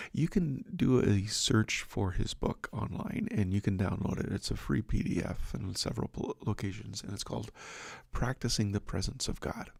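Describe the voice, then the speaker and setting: A middle-aged man hosts a devotional reflection with a low, gravelly voice. He talks loosely and with self-deprecating humor, restarting his sentences and filling them with ums. The sound is close and dry, with almost no room around him. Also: a little mellow, no echo. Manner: rambling, self-deprecating, many restarts and fillers. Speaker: host of a devotional livestream and podcast.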